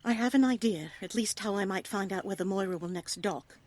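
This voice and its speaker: A woman's voice: mid-range voice, a little fast, a little mellow, lightly acted. A determined young woman of Tudor times, acted out by a woman for an audio play. She speaks in old-fashioned phrases with urgency and passion, loyal and set on her course. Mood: earnest, tender.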